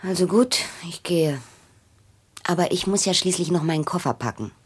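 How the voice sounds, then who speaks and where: An actress playing a cheeky, defiant mistress, flippant and blunt, in a German radio drama. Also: female voice, fairly low pitch, lively intonation, normal speed, slightly rough. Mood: blunt, irritated. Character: cheeky, defiant, tipsy mistress of an older man.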